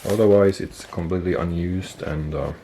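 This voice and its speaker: A man with a deep, gravelly voice and a stuffy nose talks through a piece of gear on camera. He is relaxed and unhurried, thinking aloud, trailing off and correcting himself as he goes. A faint hiss of background noise sits under his voice. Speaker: military gear collector and video host. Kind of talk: casual show-and-tell explanation.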